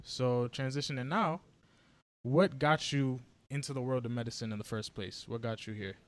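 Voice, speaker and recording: A man's voice: mid-range voice, normal speed, no echo. A man hosting a podcast interview, introducing his guest with enthusiasm and an easy, friendly laugh. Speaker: podcast host.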